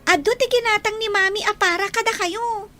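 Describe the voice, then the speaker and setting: A woman's voice: extremely high pitch, steady tone, a little fast, pushed and bright, faint music behind. A woman with an Ilocano accent acting a chatty, affectionate young daughter in an audio drama. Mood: bright, affectionate.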